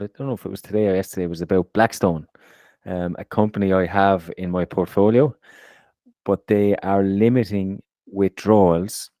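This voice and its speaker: A man with a very low, dark voice co-hosts a podcast in a casual way. He often stumbles over and repeats words. The recording is close and dry. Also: no echo. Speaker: podcast co-host. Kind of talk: casual chat.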